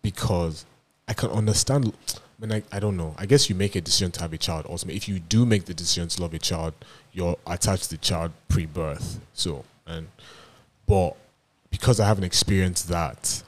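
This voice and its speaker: A man with a very low, deep voice talks easily with a friend on a podcast. He is from Nigeria, and he jokes and swears casually as he tells stories about names and family. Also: normal speed. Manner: laid-back, joking, swears casually. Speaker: podcast co-host. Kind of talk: casual chat.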